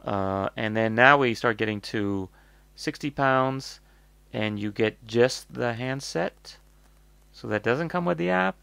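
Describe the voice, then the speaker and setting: A man's voice: medium pitch, slow, gravelly, fairly bright tone, smooth, no room sound. A North American man hosting a casual, off-the-cuff product review, rambling and blunt as he thinks aloud.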